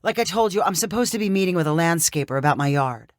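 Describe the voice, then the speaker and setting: A woman's voice: fairly low pitch, expressive, quick pace, no echo, clean studio sound, lightly acted. A woman voicing a polite, mildly doubtful female friend in a short audio story. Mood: polite, evasive.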